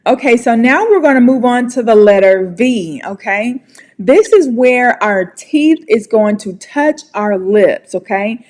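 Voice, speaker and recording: An American woman leads a relaxed pronunciation class, her voice sitting a little high. She talks slowly and carefully, encouraging her student as she goes. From United States. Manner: friendly, encouraging, slow and deliberate. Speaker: English pronunciation teacher. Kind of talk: casual online pronunciation lesson.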